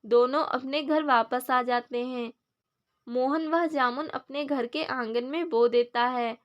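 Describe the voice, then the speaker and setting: A woman's voice: sits high, slow, dull audio. A woman narrating a scripted moral story for children in storybook style.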